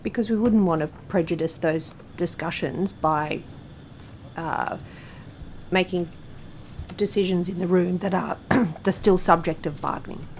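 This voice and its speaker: An Australian woman public servant giving formal answers at a parliamentary hearing in measured official phrasing. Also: fairly low pitch, darkish tone, slight background noise. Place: parliamentary committee room.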